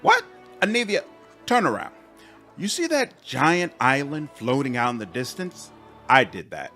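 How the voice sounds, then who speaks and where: A man's voice: mid-range voice, measured pace, faint music behind. A man playing a flamboyant, flippant and easily flustered hero commander in a comic fantasy game. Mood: exasperated. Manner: excitable, swooping, exasperated.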